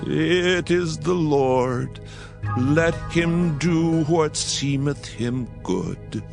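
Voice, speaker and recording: A creaky, very slow old priest. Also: male voice, on the high side, expressive, heavily acted. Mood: resigned. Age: older. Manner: halting, drawn-out, solemn delivery. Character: elderly priest and mentor, solemn, fatherly, resigned. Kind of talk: dramatized scripture reading. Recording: music bed behind the voice.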